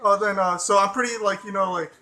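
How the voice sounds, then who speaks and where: American male vlogger, high, sharp, bright tone, loose, sweary, filler-heavy delivery. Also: fairly even intonation, fast talker. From Chicago suburbs, USA. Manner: loose and profane, heavy on fillers like "you know" and "like". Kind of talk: casual rambling vlog talk.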